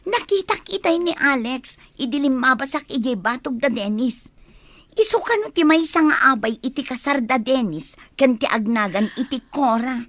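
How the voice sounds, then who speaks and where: Woman doing excitable young ilocano village friend, high voice, dry close sound, fretty radio drama acting. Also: normal speed, no room sound, exaggerated voice. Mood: explaining.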